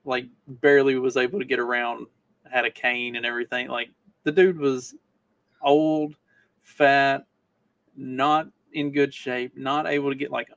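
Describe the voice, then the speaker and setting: A man chatting casually about politics as a podcast co-host, in a halting, questioning way. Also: mid-range voice, little pitch movement, fairly bright tone, no room sound, poor audio.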